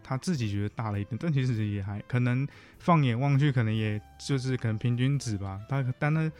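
A man's voice: mid-range voice, a little fast, a little mellow. A man with a Taiwanese accent speaks in a mid-range voice as a guest counselor. He talks casually and reflectively, circling back to rephrase what he means. Faint music plays behind him. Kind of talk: casual conversational interview.

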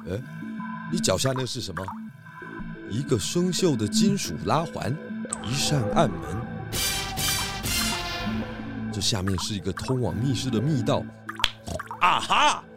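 A man's voice: mid-range voice, very animated, unhurried, long pauses, loud music behind the voice. A man playing a confident, clever pig detective in a children's audio drama, theatrical, with emphatic exclamations and knowing chuckles. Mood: excited discovery.

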